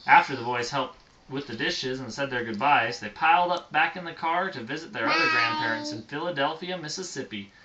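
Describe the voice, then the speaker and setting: A man's voice: on the high side, big pitch swings, fairly quick, over a noisy crowd. A father reading a picture book aloud to a small child at home, stopping to answer as the child points at the pictures. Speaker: father reading a bedtime story. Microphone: phone. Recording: noisy home phone recording.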